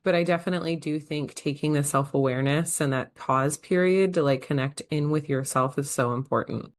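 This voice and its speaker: Woman podcast host, deep for a woman, enthusiastic and chatty, filler-heavy casual delivery. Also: fairly quick.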